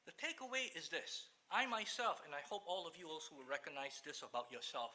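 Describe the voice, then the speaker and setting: A man from Malaysia with a Malaysian English accent, preaching a sermon and reading Bible verses aloud in an earnest, halting way. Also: a little high, animated, pushed and bright. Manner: earnest, halting, restarts mid-phrase.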